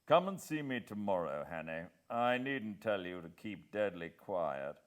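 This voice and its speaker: A senior British government gentleman, as voiced by a male narrator in a classic storybook reading. He speaks low and formally, advising with brisk and kindly authority. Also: sing-song. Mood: firm, advising.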